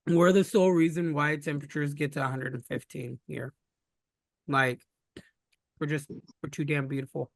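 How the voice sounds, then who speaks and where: A man's voice: on the high side, fairly even intonation, vocal fry, no room sound. Teasing man joking with friends. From Northern California. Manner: teasing, quick joker. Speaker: podcast co-host. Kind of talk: casual joking chat.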